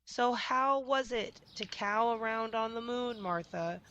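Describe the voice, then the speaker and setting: Woman doing a fed up farmer guy from a kids book, pleading, emphatic, bright voice. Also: fairly high pitch, measured pace, lo-fi sound, slight background noise, voice pushed up. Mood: exclaiming. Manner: emphatic, exclaiming. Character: exasperated, pleading male farmer coaxing his cow. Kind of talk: picture book character voice.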